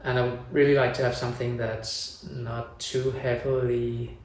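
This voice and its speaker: Brief: man in his forties from Denmark, plain chatty family vlogger, gravel in the voice, distant roomy sound. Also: mid-range voice, little pitch movement, normal speed, a little mellow. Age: 40s. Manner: plain, thinks aloud with restarts. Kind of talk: casual chat.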